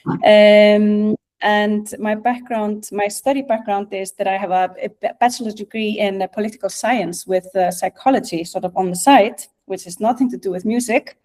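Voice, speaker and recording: Mellow middle-aged Icelandic woman, hesitant talker. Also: mid-range voice, fairly even intonation, moderate pace. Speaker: guest speaker, national music office director. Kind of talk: informal online presentation. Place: video call.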